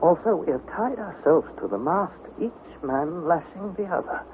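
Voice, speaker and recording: A narrow, tinny vintage radio recording carries a man acting as an anxious young explorer with a high-pitched voice. He narrates his expedition journal with earnest, literary intensity, in the dramatic style of an adventure serial. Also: animated, normal speed, a little hiss or hum, lightly acted. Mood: weary, grim.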